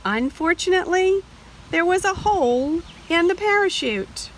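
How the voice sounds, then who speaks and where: A woman reading picture books aloud to young children, explaining directly to her listeners with expressive delivery. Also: very high-pitched, moderate pace, slight background noise, rough recording. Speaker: storybook reader for children. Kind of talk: read-aloud picture book narration.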